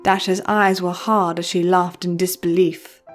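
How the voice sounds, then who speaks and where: A woman's voice: fairly low pitch, little pitch movement, measured pace, soft background music. A woman narrating a story in a dramatic, scripted reading style.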